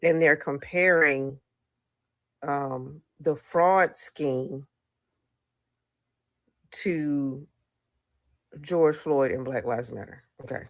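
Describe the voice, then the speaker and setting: Woman doing a slow firm response vid, keeps saying okay, dry sound. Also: low voice, no echo. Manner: measured, firm, repeats "okay". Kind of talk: social media response video.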